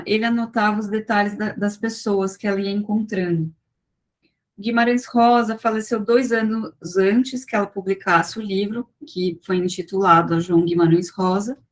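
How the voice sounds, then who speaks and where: A woman giving an academic talk over a video call, measured and full of small fillers and restarts as she walks through slides. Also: medium pitch. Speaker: guest lecturer.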